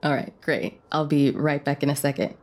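A woman's voice: low-pitched, normal speed, a little mellow. A woman acting as a friendly, teasing diner owner in a romance audio drama, with a casual, bantering manner. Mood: playful, friendly. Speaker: guest voice actor in an audio romance.

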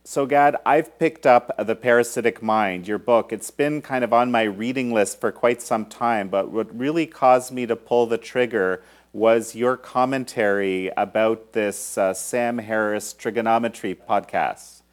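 A man's voice: on the low side, a little slow, few pauses. A man hosting an interview podcast, asking rambling questions with many fillers. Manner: hesitant, filler-heavy questions. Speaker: podcast host. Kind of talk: interview hosting and read sponsor ad.

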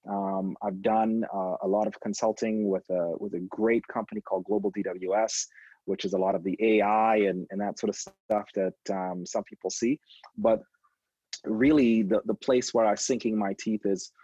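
A man from Trinidad and Tobago with a low, husky voice answers interview questions thoughtfully. He pauses on ums and restarts his words as he thinks. The sound is dull, lo-fi and dry. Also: a little mellow, no room sound. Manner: reflective, frequent ums and repeated words. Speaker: guest, education innovation consultant. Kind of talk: conversational interview.

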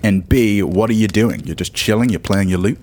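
A man narrating a tabletop role-playing game as its game master, descriptive and quick-witted. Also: fairly low pitch. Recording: loud music behind. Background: music.

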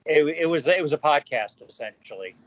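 A man chatting casually as a podcast panelist, hesitant, often pausing to rephrase. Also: on the high side, animated, no room sound, highs cut off. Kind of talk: casual discussion.

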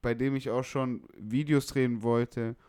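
A man's voice: mid-range voice, steady tone, unhurried, darkish tone, smooth, no echo, studio quality. A young man from northern Germany chatting casually about music, slangy, blunt and opinionated. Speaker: music producer, podcast guest.

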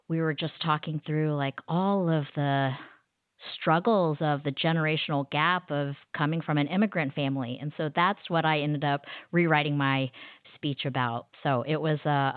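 Thirties woman from kansas, low smooth voice, earnest and reflective, sounds like a phone line. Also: no echo. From Kansas, USA. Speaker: guest, consulting managing director. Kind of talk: podcast interview. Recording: tinny phone-like line, dry.

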